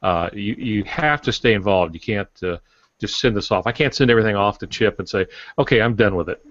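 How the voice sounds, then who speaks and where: A man's voice: fairly low pitch, fairly quick, a bit bright, no room sound. An older man co-hosting a podcast, giving casual, explanatory advice. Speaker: podcast co-host, writer and teacher. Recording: dull, dry lo-fi audio.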